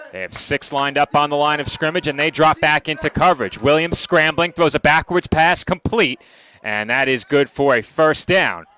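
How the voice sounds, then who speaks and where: Play-by-play man on a headset: bright, cutting voice, brisk and exact, dry quips. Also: a little high. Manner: brisk, precise, lightly wry. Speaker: play-by-play announcer. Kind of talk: live football play-by-play.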